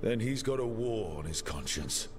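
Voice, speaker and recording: A man voicing a calm, decisive party leader in a fantasy video game, measured and matter-of-fact. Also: lowish voice, lightly acted.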